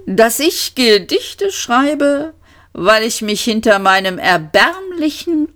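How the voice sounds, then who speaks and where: A woman voicing a bitter, menacing male alien commander in an audiobook, with pompous, drawn-out delivery. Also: a little high, fairly bright tone, pitched above the performer's own voice, full character voice. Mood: wounded, scornful. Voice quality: screeching, grating. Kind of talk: audiobook character voice.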